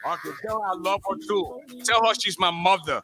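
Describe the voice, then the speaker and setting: A call-in radio host in his fifties with a high, strikingly bright voice. He chats loosely with callers, repeating phrases and restarting sentences. His tone is forceful and tense. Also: a little fast. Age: 50s. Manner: chatty, repeats himself, stumbles into restarts. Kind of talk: live call-in radio chat.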